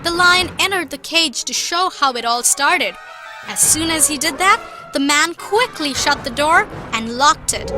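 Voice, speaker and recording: A woman voicing a patient cartoon mother who tells children fables in an expressive, instructive way. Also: sits high. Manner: expressive, didactic. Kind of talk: children's storytelling.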